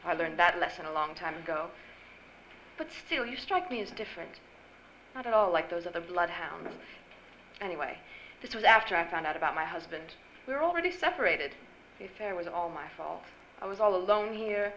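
A woman's voice: lowish voice, animated, a little fast, fairly bright tone. A woman playing an elegant, confiding rich wife in a radio drama, with poised theatrical delivery. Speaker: actor. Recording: dull noisy live-stage recording.

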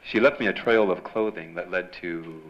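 An actor playing a wisecracking, hardboiled newspaper reporter in a 1940s-style noir radio drama. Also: male voice, deep voice, normal speed, close to an everyday voice. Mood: wry, narrating. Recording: dull, faintly noisy stage recording.

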